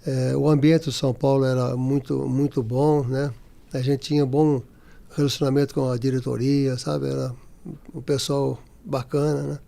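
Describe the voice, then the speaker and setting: Gravelly-voiced Brazilian man in his sixties. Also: medium pitch, flat delivery, dark tone. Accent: Brazilian Portuguese. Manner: halting, many restarts and fillers. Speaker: interviewee, former footballer. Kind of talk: casual interview.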